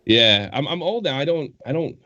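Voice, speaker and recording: A man in his forties with a Boston accent, a podcast guest telling rambling, profane stories full of halting restarts. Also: a little high, lively intonation, sharp tone, no room sound, dull audio. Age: 40s. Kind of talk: casual chat.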